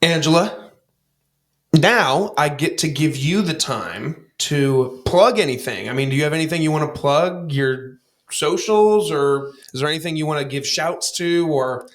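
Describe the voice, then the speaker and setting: A man in his late twenties hosting a podcast, asking questions in a playful, relaxed way. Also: a little high, unhurried. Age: 20s. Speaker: podcast host. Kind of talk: casual interview chat.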